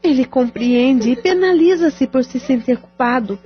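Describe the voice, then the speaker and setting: A caring friend in an audio drama, coaxing and reassuring in a fairly high voice. The sound is dull and lo-fi, with soft music in the background. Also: female voice, animated, a little slow. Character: caring, persuasive female friend.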